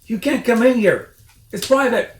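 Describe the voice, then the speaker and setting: A man's voice: very high voice, normal speed, far from the mic, voice pushed up. A man voicing a small, indignant, territorial male rat from a storybook, shrieking and snapping. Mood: snappish. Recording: roomy echo, faint noise.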